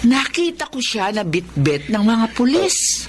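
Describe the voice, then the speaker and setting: Expressive, emotional mother, noisy recording. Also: a little high, unhurried. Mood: earnest, gossipy. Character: devout, warm-hearted mother of a reunited family. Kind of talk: Tagalog radio drama acting.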